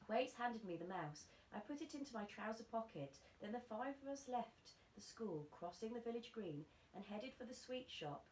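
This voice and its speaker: A woman's voice: on the high side, a little mellow. Woman reading a storybook in an echoey room. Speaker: primary school teacher reading to pupils. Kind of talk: children's storybook read-aloud. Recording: echoey room, faint background noise.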